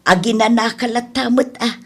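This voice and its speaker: A woman playing a caring, fretful mother in a radio drama, gently cautioning. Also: fairly high pitch, steady tone, moderate pace.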